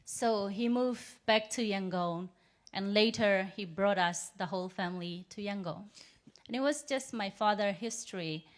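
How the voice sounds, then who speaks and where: Burmese-accented woman, 30s, measured and earnest speaker telling her story, mid pitch. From Myanmar. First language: Rohingya. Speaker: invited speaker. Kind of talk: personal testimony speech.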